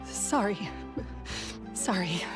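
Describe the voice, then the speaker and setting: A woman's voice: mid-range voice, expressive, very slow. An actress playing a timid, emotional young woman in a video game, hesitant and earnest. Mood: shaken, confessing. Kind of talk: video game character acting. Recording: game music behind the voice.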